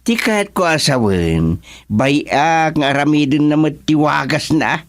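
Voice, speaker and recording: A jovial village official in a radio comedy drama. His voice sits a little high, and he talks in an animated, boastful, colloquial manner full of interjections. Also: unhurried.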